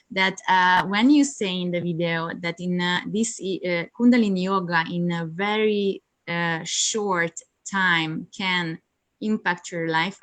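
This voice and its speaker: A woman's voice: lowish voice, a bit bright. A woman whose first language is Hungarian, chatting in an interview about her yoga teaching, hesitant with many fillers and frequent laughs, on a video call. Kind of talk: casual interview chat.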